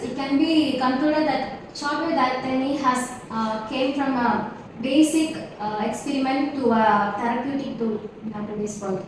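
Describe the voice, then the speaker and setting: A young woman giving a student presentation in a halting way. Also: high-pitched. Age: young adult. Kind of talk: group discussion presentation. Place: echoey indoor room. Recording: echoey room, distant mic, noisy background.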